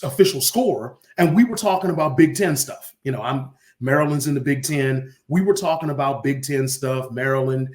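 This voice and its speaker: A man, an arena announcer, telling casual stories as an interview guest and acting out bits of dialogue. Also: mid-range voice. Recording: roomy echo on the voice.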